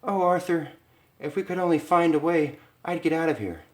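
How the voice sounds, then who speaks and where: A man's voice: on the high side, normal speed, roomy sound, voice pushed up, close to an everyday voice. A man voicing a frightened young woman trapped in a haunted house, breathless and pleading.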